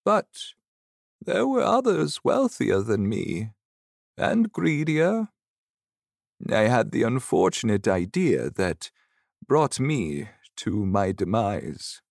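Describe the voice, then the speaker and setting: A man voicing a frail, gentle old farmer's ghost, telling his tale slowly and deliberately in a storybook narration. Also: on the low side, sing-song, creaky, dry recording, studio quality, full character voice. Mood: wistful, recounting. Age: older.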